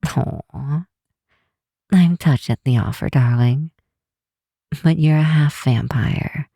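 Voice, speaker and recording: A woman's voice: low-pitched, slow, creaky, dark tone, breathy edge, dry recording, clean studio sound, full character voice. A woman voicing an affectionate, bookish vampire researcher in an ASMR roleplay, talking tenderly and teasingly with small hesitations. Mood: playful, affectionate. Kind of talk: ASMR roleplay, one side of a late-night phone call.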